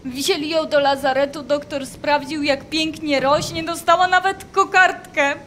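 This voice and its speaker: An actress playing a grief-stricken mother in a radio drama, speaking in a halting, anguished way. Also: sits high, fairly even intonation, a bit bright. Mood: grieving. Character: grief-stricken mother of a small daughter in a camp. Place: live performance inside a wooden barn. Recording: faint background noise, barn stage.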